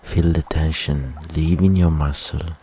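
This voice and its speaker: Very deep, slow male meditation guide. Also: fairly even intonation, dark tone, a little hiss or hum. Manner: soothing, unhurried, with long pauses. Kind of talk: guided relaxation meditation, soft scripted instruction.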